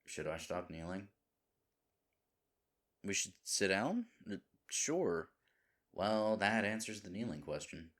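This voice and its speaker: A man's voice: low voice, animated, slow, long pauses. A man playing a lovable, nervous himbo boyfriend in an intimate audio roleplay, earnest and rambling. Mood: worried, earnest. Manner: earnest, rambling, hesitant, dropping into breathy asides.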